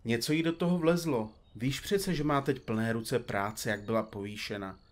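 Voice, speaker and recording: A man plays a theatrical male detective from a comedy radio play, dictating to his recorder. He is wordy and a little pompous, with a medium-pitched voice, and likes to tease. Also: lightly acted. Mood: chatty. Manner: florid, pompous, teasing. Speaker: detective in a comedy mystery podcast. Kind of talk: audio drama acting with florid narration.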